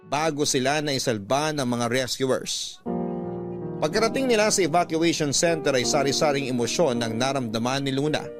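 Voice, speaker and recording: A man with a Filipino accent narrating a scripted dramatic story as a radio drama storyteller. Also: medium pitch. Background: background music.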